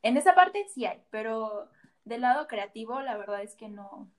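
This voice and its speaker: A woman's voice: fairly high pitch, lively intonation, moderate pace. A woman with a Mexican accent, a guest in a casual chat, giving candid opinions with frequent fillers. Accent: Mexican Spanish.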